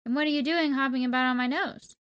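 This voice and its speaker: A woman's voice: high voice, fairly even intonation, fast, a bit bright. A woman reading a story and voicing an innocent, curious lost boy full of questions. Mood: puzzled.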